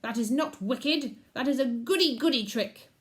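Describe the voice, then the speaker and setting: A greedy, bullying wolf uncle growls out his boasts slowly and loudly, as a woman reading the storybook puts him on. His voice rises above the reader's own, heavy with bluster. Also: sits high, pitched above the performer's own voice, close to an everyday voice. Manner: loud growling bluster, slow and drawn out.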